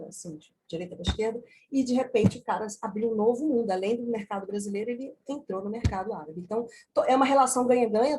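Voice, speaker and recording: A woman giving an energetic, conversational talk about her book chapter on a video call, full of asides. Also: fairly high pitch, slight background noise, low-quality recording. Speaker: book author presenting a chapter. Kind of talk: online book launch talk.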